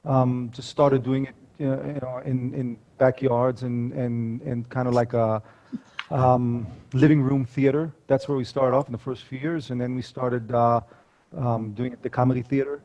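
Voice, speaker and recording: A man's voice: medium pitch, level tone, normal speed, dark tone, lo-fi sound. A man in his forties from the United States, a storyteller guest speaking reflectively in a casual interview.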